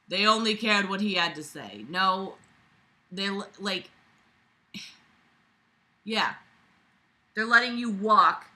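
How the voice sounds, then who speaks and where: Sharp, exasperated reader with a blunt mouth. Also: medium pitch. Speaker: reader reacting to a book. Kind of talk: reading aloud with sarcastic running commentary.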